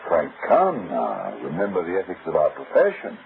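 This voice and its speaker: Sly hardboiled detective, wry, animated intonation, radio drama, narrow hissy recording. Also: male voice, sits low, vocal fry, exaggerated voice. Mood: wry, cagey. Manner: sly, wry, drawn-out teasing. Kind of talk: old-time radio drama acting.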